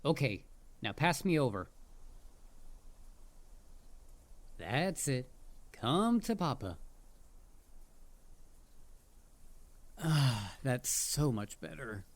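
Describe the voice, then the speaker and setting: A performer voicing a mischievous, excitable headless dullahan in a roleplay audio drama, playful, theatrical and full of jokes. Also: mid-range voice, unhurried. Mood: relieved, bossy.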